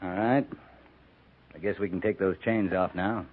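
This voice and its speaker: A man playing a gruff, commanding cavalry captain in a 1950s radio western, speaking tersely and with dry authority. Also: sits low, steady tone, moderate pace, lightly acted. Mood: stern. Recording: muffled, noisy 1950s radio broadcast.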